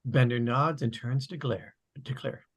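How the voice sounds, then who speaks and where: Husky man reading stage directions. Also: medium pitch, fairly even intonation, unhurried. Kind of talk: scripted narration.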